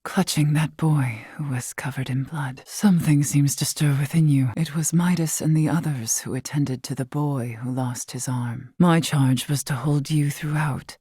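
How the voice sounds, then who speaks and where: An actress playing a wise, long-lived female scholar in a video game, composed and curious, speaking in formal, measured, eloquent phrases. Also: low-pitched, fairly even intonation, no room sound, clean studio sound. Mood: tender, solemn. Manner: formal, measured, eloquent, softly breathy at times. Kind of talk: scripted video game character dialogue.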